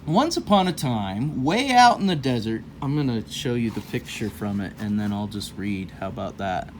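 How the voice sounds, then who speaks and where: Guy reading a kids book out loud, super animated, folksy jokey side comments, bit of background noise. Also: medium pitch, moderate pace. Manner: folksy, rambling, jokey asides. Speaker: host of a children's read-aloud show. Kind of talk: animated storybook reading with casual playful asides.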